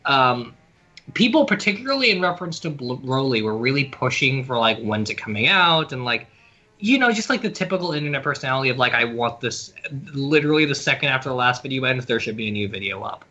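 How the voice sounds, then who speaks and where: A man's voice: mid-range voice, animated, fast talker, sharp tone. A man co-hosting a review podcast in casual chat, heard through slightly dull, lo-fi audio. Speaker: podcast co-host reviewing a fan dub.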